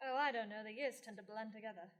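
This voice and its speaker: A woman's voice: a little high, fast, a bit bright, close to an everyday voice. A young woman playing a long-lived sorceress in a radio play, blunt and dry with sardonic, theatrical asides. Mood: evasive. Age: young adult. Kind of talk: scripted radio play acting.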